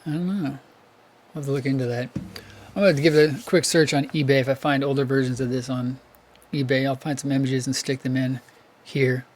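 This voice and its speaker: A hoarse man who is losing his voice, hosting a casual collector vlog, rambling and correcting himself as he thinks aloud. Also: medium pitch, steady tone, fairly quick. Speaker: VHS collector channel host.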